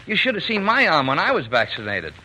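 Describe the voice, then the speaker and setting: A man's very bright, forward voice as a fond, dreamy working-class dad. He is folksy and soothing, given to playful boasting, on a faintly noisy old radio drama broadcast. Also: a little high, huge pitch swings, a little fast, full character voice. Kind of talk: 1940s radio drama acting.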